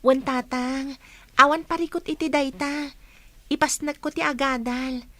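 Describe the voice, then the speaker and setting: A woman's voice: high-pitched, fairly even intonation, unhurried, pushed and bright, no echo. A woman playing a studious, considerate college-age daughter in a family audio drama, speaking respectfully and reassuringly. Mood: respectful, earnest.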